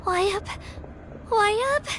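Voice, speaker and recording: A woman's voice: extremely high pitch, takes it slowly, noisy background. A woman voicing an earnest, brave young girl in a video game, with a childlike, eager delivery. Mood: earnest, hopeful.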